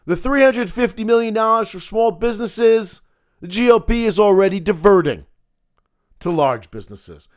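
Guy with a gravelly voice, sarcastic political rant, pitch all over the place. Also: animated, moderate pace. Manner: sarcastic, combative, emphatic. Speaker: political podcast host. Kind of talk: opinionated solo commentary.

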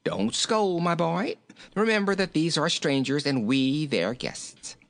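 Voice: mid-range voice, big pitch swings, slow pace, creaky, voice pushed up, exaggerated voice. A narrator voicing a jolly, fat little king from a storybook, chuckling, exclaiming and repeating himself merrily. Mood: soothing, genial.

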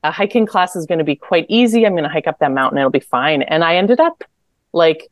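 A woman's voice: low voice, expressive, fairly quick, no room sound, studio quality. A young adult woman from Manitoba, Canada, chatting as a podcast guest, with lots of fillers and restarts. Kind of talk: casual interview chat.

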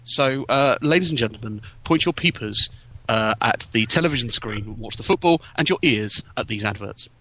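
Guy hosting a tv podcast, bright voice, jokey presenter bits. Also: medium pitch, animated, moderate pace, slight background noise, rough recording. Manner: jokey, presenter-style links. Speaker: podcast co-host. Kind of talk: casual podcast chat.

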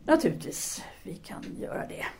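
Woman playing a mellow, composed intellectual lover. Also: close to an everyday voice. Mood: composed, attentive. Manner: formal, restrained, questioning. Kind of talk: audio drama dialogue.

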